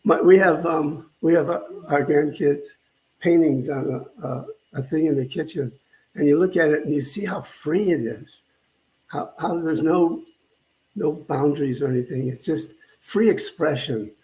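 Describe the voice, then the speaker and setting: An older man speaks in a soft-edged, mellow voice that sits a little high for a man. He gives an informal spiritual talk, pausing and repeating words as he reflects. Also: low-quality recording. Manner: halting, reflective, repeats phrases. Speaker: minister.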